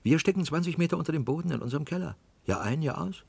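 An actor playing a brooding, weary cellar worker full of doubt in a science-fiction radio play, intense and dropping into hushed whispers. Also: male voice, a little high, lively intonation, fast talker, creaky, slightly rough, no room sound, full character voice.

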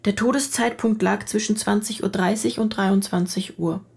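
Husky, probing female police detective. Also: medium pitch, steady tone, moderate pace. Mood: probing, businesslike. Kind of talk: radio drama acting.

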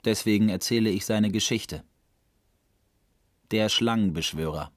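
A man's voice: lowish voice, unhurried, close to an everyday voice. An actor narrating a literary radio play as a reflective camp prisoner recalling his memories. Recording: music behind narration.

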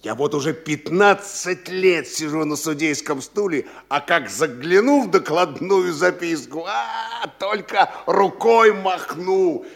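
An actor playing a self-assured, complacent provincial judge in a stage comedy, with a dismissive, opinionated way of talking. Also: male voice, high-pitched, slow, creaky, fairly bright tone, full character voice. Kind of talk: theatrical Russian stage comedy acting.

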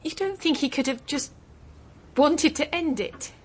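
An actress playing a distressed, pleading bride-to-be in a radio drama. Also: female voice, sits high, lively intonation, unhurried.

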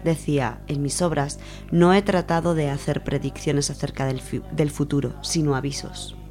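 Low, even-voiced Spanish woman presenting. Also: normal speed, over music. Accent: Spain. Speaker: radio show presenter. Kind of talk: informal radio talk, semi-prepared biographical account.

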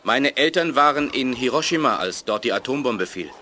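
An actor playing an earnest, polite young teleporting mutant in a German sci-fi radio play. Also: male voice, medium pitch, lively intonation, moderate pace, sharp tone, a little hiss or hum.

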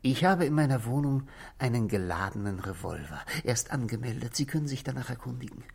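An actor playing an anxious, brooding husband in a 1960s German radio play, polite and halting, with a hushed, breathy voice. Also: male voice, mid-range voice, expressive. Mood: grimly resolved. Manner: hesitant, halting, apologetic, dropping to a whisper.

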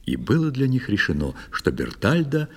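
A man narrating a children's audio play, telling a verse tale in a measured, declamatory and expressive manner. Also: medium pitch, creaky, slightly rough, full character voice.

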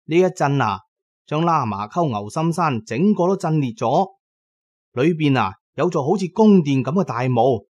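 A man's voice: a little high, expressive, darkish tone. A man voicing a young, eager, gossipy village accountant in an audio storybook, with a chatty way of telling news. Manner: chatty, drawn-out tale-telling with particles.

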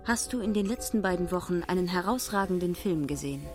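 An actress playing the impersonal, formal questioner of a secretive movement in a radio play, with a detached, scripted manner. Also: female voice, on the low side, animated, fast, faint music behind, close to an everyday voice.

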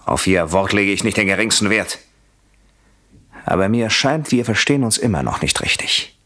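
A vengeful husband in a radio play, very low and husky. His pitch swings widely as he moves from mock courtesy to menacing whispers, always deliberate and coldly methodical. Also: animated, pushed and bright. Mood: bitter hatred. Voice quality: husky, often dropping to a whisper. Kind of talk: German radio play acting.

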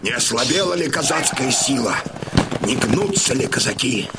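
An actor playing a commanding older Cossack chieftain in an audio drama, fiery and solemn, with declamatory, rousing delivery. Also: male voice, medium pitch, lively intonation, moderate pace, vocal fry, very noisy. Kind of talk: theatrical battle oratory in Russian audio drama. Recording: loud music behind the voice.

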